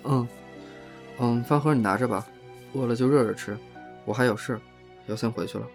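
A reticent young man played by a man speaks with understatement in an audio drama. His voice is creaky, with music behind it. He sounds self-effacing and guarded. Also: medium pitch, normal speed, close to an everyday voice. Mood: subdued.

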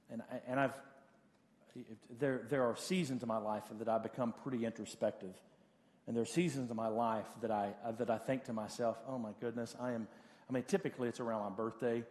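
A man in his late forties preaches with a medium-pitched voice that rings through a large, echoing church space. He sounds like a pastor talking directly to people, offering practical advice in a conversational style. His delivery is halting, with phrases said again for emphasis. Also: a little mellow. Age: late 40s. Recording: hall reverb of a large room.

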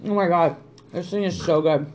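A woman co-hosting a podcast, chatting casually, animated and jokey, swearing freely. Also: lowish voice, normal speed, slight background noise. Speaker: podcast co-host. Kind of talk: casual chat.

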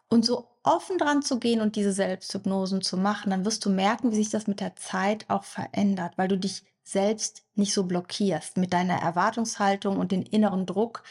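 Breathy-voiced woman coaching in a podcast. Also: mid-range voice. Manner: warm explanatory, addresses listener directly, acts out inner thoughts. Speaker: hypnosis coach. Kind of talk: podcast monologue.

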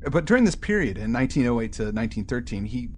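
Regular pitched guy cohosting a book podcast, explaining stuff casually, some noise in the background. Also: big pitch swings, fast, a little mellow. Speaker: podcast co-host. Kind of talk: casual book discussion chat.

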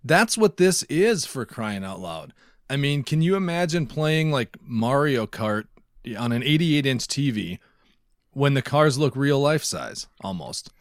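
A man's voice: mid-range voice, big pitch swings, measured pace, no echo, studio quality. A man co-hosting a podcast, chatting casually and explaining things in a conversational way. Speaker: podcast co-host. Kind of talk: casual tech and gaming podcast chat.

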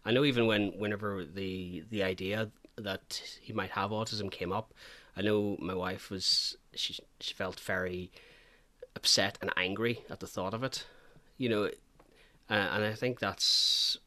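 A man's voice: on the low side, steady tone, measured pace, sharp tone, no echo. A man hosting an interview, talking earnestly and hesitantly with many fillers. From Northern Ireland. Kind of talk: conversational interview.

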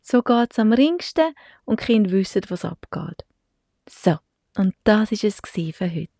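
A woman's voice: medium pitch, animated, unhurried, mellow, no room sound. A Swiss woman in her forties with a Swiss German accent, chatting casually to the camera as a mother and vlogger, laughing often and slipping in English words. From Switzerland.

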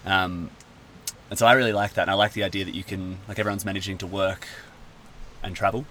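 A man from Australia, a guest in a casual chat, talking in a relaxed, rambling way. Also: sits low, quick pace, slight background noise.

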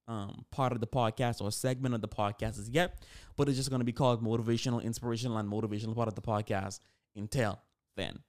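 Clear-voiced eighteen-year-old man hosting a podcast. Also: medium pitch, a little mellow. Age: 18 years old. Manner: informal, conversational, self-correcting. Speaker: podcast host. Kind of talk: casual solo podcast talk.

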